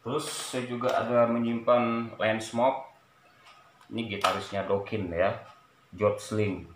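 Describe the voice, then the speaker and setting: Slow, low-voiced man, echoey room. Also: level tone. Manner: halting, repeats short phrases with frequent "ya" tags. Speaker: vlogger showing a cassette collection. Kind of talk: casual vlog chat, showing items. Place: small room at home, indoors. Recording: echoey room, clear background noise.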